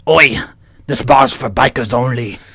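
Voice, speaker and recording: Man putting on a gruff, gravelly biker doorman. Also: medium pitch, unhurried, bright, forceful tone, no echo, clean studio sound, exaggerated voice. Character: gruff male biker guarding a bikers-only bar.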